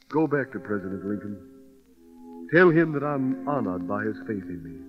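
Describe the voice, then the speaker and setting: Guy playing a grave torn army colonel, earnest and deliberate, old radio drama, dull sound w faint music. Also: mid-range voice, lightly acted.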